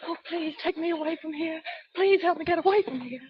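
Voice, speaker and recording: An actress playing a frightened young woman held captive, pleading in a hushed, urgent voice. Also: very high voice, brisk, slight background noise. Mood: terrified. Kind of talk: 1940s radio acting. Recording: muffled 1940s radio broadcast.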